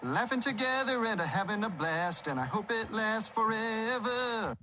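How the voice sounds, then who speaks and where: A sing-song cartoon blue jay. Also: male voice, sits high, animated, normal speed. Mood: cheerful. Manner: singing a cheerful song. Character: upbeat young male blue jay, cartoon. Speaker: cartoon character in a clip. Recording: clip played with noise behind.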